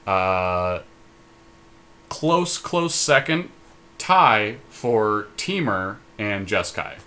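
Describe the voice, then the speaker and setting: Low-voiced man, casual podcast co-host. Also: animated, extremely slow, sharp tone. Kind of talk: casual chat during a card game draft.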